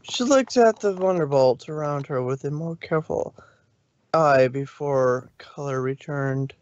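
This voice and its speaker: A man reading scripted fan fiction as a storybook narrator, with a measured, dramatic delivery. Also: fairly high pitch, a little mellow, no echo, lo-fi sound.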